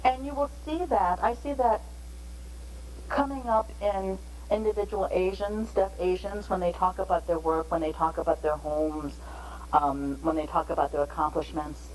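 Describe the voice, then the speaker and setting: Low-voiced woman giving a training lecture. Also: fairly even intonation, darkish tone, slight background noise, poor audio. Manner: measured, explanatory, with pauses between phrases. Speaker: interpreter trainer.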